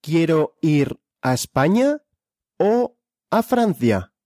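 A speaker leading a question-and-answer language drill. The speaker asks each question, then answers it deliberately. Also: mid-range voice, big pitch swings, warm tone, dry recording, studio quality.

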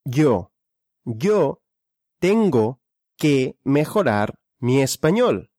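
Super slow drill voice, pitch swings a lot, asks then answers, dry close mic. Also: sing-song, warm tone, no room sound. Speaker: lesson drill speaker.

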